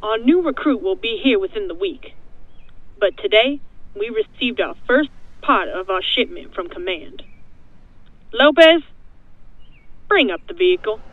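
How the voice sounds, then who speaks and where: A bullying army sergeant acted by a woman in a cartoon parody, barking sarcastic orders. The voice sits fairly high and comes through thin and phone-like, very dry. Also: expressive, a little slow, pauses often, no room sound. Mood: bossy. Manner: barking, drawling mock orders. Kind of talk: fan parody dub of a comedy machinima.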